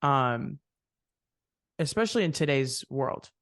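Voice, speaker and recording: Gravelly man hosting, dry close sound. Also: medium pitch, animated, slow pace, fairly bright tone, no room sound. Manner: reflective, rambling with fillers. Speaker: podcast host. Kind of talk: casual interview chat.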